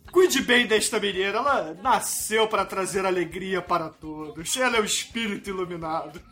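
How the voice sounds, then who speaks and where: A man co-hosting a casual, joking film review chat. He is an animated storyteller who retells scenes with acted-out quotes. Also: high voice, a little slow, soft background music. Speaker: podcast co-host.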